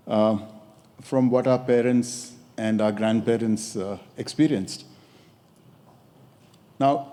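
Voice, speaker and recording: A man in his sixties from India with an Indian accent gives a measured, pausing conference talk. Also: medium pitch, warm tone. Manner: measured, pausing with occasional hesitations. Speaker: design academic giving a talk. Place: lecture hall at a podium. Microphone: podium microphone. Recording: echoey hall.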